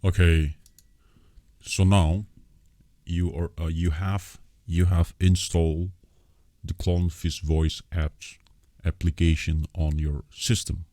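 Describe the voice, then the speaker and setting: A man with a very low, dark voice presents a casual software walkthrough. He is a non-native English speaker who explains each step haltingly and often repeats himself. The sound is dry, with very little room in it. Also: level tone, no echo. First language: not English. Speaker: tutorial host.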